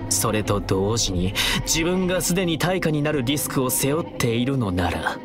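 A man's voice: medium pitch, sing-song, natural voice. A man acting a theatrical, mocking young villain, swooping delivery. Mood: sinister storytelling. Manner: taunting, drawn-out, singsong phrasing. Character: flamboyant, mocking, theatrical young male villain, menacingly playful. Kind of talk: theatrical game dialogue and dark fable narration. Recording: loud music over the voice.

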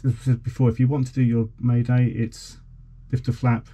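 A man's voice: medium pitch, flat delivery, brisk, warm tone. A man in his forties giving an informal, slightly hesitant explanation as he demonstrates equipment in a vlog. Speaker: vlogger. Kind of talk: informal demonstration of a boat radio. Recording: clearly noisy background.

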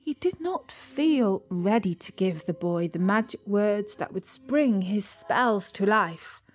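A woman reads a story in a scripted narration style. She takes her time, with a mid-pitched voice, and the recording sounds a little dull.

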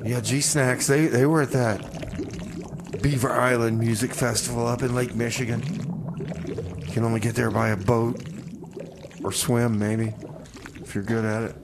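A man's voice: flat delivery, unhurried, long pauses, background noise, lightly acted. A man playing an easygoing, hesitant mechanic filling in as a radio DJ. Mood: low-key, reluctant. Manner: hesitant, low-key.

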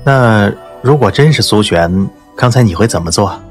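A man voicing an aloof young gentleman. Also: on the low side, lively intonation, moderate pace, faint music behind, close to an everyday voice. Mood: cool, probing. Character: aloof, guarded young man of ancient China.

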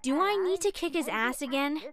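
Casting: blunt, protective schoolgirl voiced by a woman, high voice, animated delivery, chatter behind. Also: a little fast. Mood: protective, encouraging. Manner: blunt, teasing. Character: brash, protective teenage schoolgirl friend. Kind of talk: animated comic dub acting.

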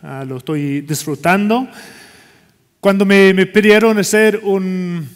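A man's voice: high voice, slow, big-room sound. Scottish man giving a keynote in spanish. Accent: Scottish, speaking Spanish learned in Chile. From Scotland. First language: English. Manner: hesitant, many "ah" fillers and restarts. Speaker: keynote speaker. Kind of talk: conference keynote talk. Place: large conference stage with a long reverb.

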